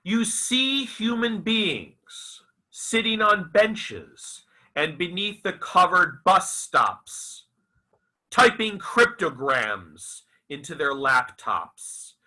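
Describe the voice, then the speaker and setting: A man reciting his own literary fiction as its author, in a theatrical, deliberate and emphatic manner. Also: high-pitched, big pitch swings, sharp tone, low-quality recording. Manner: dramatic, deliberate, emphatic with repeated phrases. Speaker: author reading own novel aloud.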